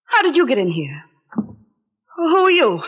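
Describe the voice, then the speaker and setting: An American actress playing a playful, flirtatious well-to-do sweetheart of a detective in a 1940s radio drama. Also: female voice, high voice, wildly swooping pitch, normal speed, fairly bright tone, breathy edge, lo-fi sound, full character voice. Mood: alarmed.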